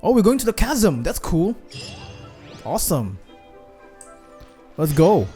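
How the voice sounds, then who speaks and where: A man doing casual let's-play commentary as a gaming streamer, in short, relaxed, offhand remarks. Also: sits high, slow pace, soft background music.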